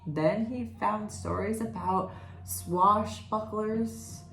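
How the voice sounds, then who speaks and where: Slow-reading woman storyteller in an echoey room. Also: medium pitch, vocal fry. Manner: expressive, pausing to comment and ask listeners questions. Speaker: read-aloud storyteller. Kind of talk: children's picture book read-aloud. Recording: roomy echo, faint background noise.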